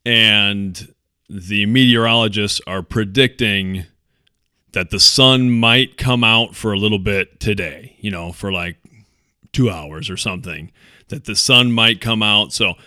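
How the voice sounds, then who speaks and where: A man's voice: fairly low pitch, unhurried, pushed and bright, smooth, no room sound, studio quality. A man co-hosting a podcast in casual chat, telling things in a laid-back, conversational way. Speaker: podcast host.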